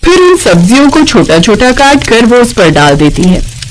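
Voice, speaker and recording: A woman's voice: on the high side, sing-song, moderate pace, a little hiss or hum, poor audio. A woman narrating a scripted children's cartoon story as a storyteller.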